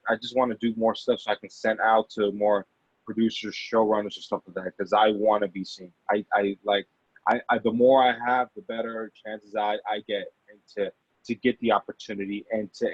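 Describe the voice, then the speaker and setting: A man speaking as an actor being interviewed, casual and halting, often repeating and rephrasing himself. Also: lowish voice, no echo. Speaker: actor and amateur producer, interview guest. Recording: dull, lo-fi audio.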